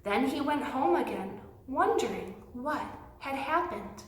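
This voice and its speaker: A woman reading aloud and talking to young children in simple, emphatic, animated phrases, like a teacher telling a story. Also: sits high, a little slow. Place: indoors in an empty classroom. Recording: roomy, echoey classroom sound.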